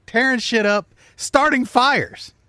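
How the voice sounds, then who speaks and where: A man's voice: extremely high pitch, slow pace, pushed and bright, dry recording, studio quality. A man casually telling a long comic story, swearing freely and acting out bits of dialogue. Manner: profane, acts out quoted dialogue. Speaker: comedy podcast storyteller.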